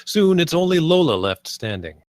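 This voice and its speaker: A man's voice: a little high, very animated, normal speed, no room sound, studio quality. A man narrating stage directions at a live script table read.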